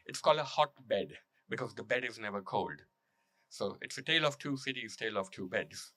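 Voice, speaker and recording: A man speaking as an author at a public reading, telling prepared stories in a measured, emphatic way with deliberate repetition. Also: fairly low pitch, expressive, pushed and bright. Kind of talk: public talk with prepared storytelling and read testimony.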